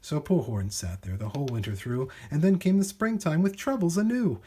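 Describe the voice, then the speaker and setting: A man's voice: fairly high pitch, a little fast, mellow, slightly rough. A man reading a rhyming children's storybook aloud in a theatrical, rhythmic, emphatic way.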